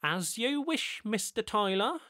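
A man voicing a calm, courteous godlike male overseer with smooth, measured, faintly weary politeness. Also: a little high, no echo, studio quality, pitched above the performer's own voice, heavily acted. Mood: resigned.

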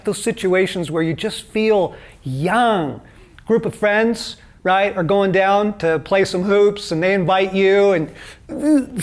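Slow, earnest older male teacher. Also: on the high side. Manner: slow, earnest, conversational with rhetorical "right?". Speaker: teacher of a video course. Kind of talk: teaching talk to camera. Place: dim studio set with lamp.

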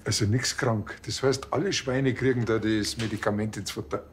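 A man's voice: on the low side, fairly even intonation, moderate pace, slight background noise, lightly acted. A man acting as a sly village police officer with a Bavarian accent and a casual, rambling manner. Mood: offhand.

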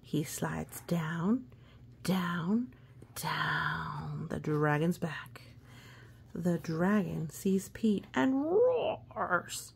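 A woman reading a children's storybook aloud expressively, with gentle asides and hushed, suspenseful phrasing. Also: lowish voice, extremely slow, gravelly, slightly rough. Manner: expressive, hushed suspense, often dropping to a whisper. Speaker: storybook reader.

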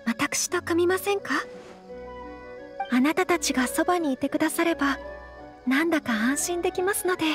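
Sweetly formal young noble lady, really high, modest, game dialogue over faint music. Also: moderate pace, pauses often. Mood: shy, hopeful. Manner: very polite, formal, slightly hesitant. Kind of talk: Japanese video game voice acting.

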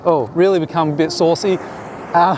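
A man in his thirties directing a beach photo shoot, relaxed and chatty, with many restarts and fillers, recorded outdoors at the shore. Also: fairly high pitch, moderate pace, slight background noise. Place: open sandy beach at sunset, outdoors. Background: music.

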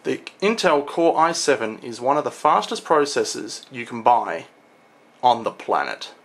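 A young adult man talking informally to a camera in a home room, explaining things in a halting, casual showcase style. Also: mid-range voice, big pitch swings. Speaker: tech vlogger. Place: small home room, indoors.

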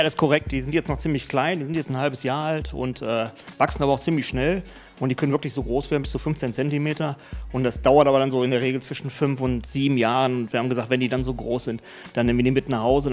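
A middle-aged man and spa owner answering interview questions in a brisk, colloquial way, speaking into a handheld mic. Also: mid-range voice, fairly even intonation, soft background music. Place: indoor spa studio. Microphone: handheld mic held by host.

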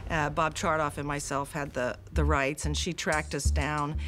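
A woman's voice: sits low, little pitch movement, normal speed. A middle-aged woman, a film producer, talking in a documentary interview.

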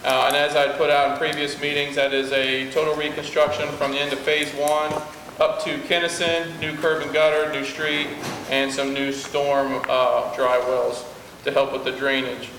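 A man's voice: medium pitch, flat delivery. Man reporting in an echoey hall. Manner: plain, halting, many fillers. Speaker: city service director. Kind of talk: spoken report to a council. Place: large wood-paneled meeting hall. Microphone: far room camera. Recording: echoey hall from far off, noisy.

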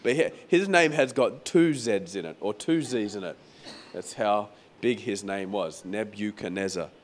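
A man preaching a sermon as pastor in a croaky, hoarse voice, talking conversationally and repeating phrases for emphasis. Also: fairly low pitch, a little slow, a little hiss or hum. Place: venue hall during a church service.

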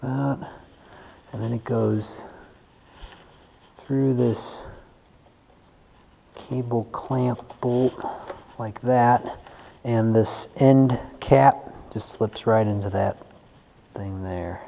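A man's voice: medium pitch, fairly even intonation, drawn-out words, creaky, long pauses, dark tone. A man giving a casual hands-on tutorial, informally thinking aloud as he works in a garage. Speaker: bike mechanic host. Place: indoors in a large wooden workshop garage. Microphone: action camera worn on the body. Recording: echoey wooden garage with noticeable noise.

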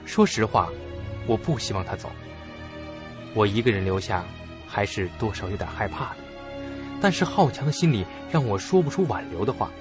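An actor narrating a suspense audio drama in the first person as a curious, stubborn young student, with expressive, suspenseful delivery. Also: male voice, fairly low pitch, normal speed, long pauses, close to an everyday voice. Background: music behind the voice.

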